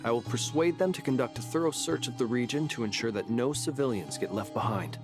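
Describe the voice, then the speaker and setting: An actor playing a dependable, formal ally in a video game, measured and courteous, with music underneath. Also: male voice, medium pitch, expressive, close to an everyday voice. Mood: resolute.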